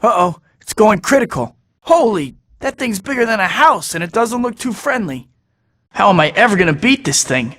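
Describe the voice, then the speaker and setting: An actor voicing a young masked superhero in a video game, talking himself through each task with wry jokes. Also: male voice, high-pitched, sing-song, normal speed, pushed and bright, long reverb tail, full character voice. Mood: wisecracking. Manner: wry, joking asides to himself. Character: young male masked web-slinging superhero, wisecracking. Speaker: video game hero voice clips. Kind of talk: game voice-over lines.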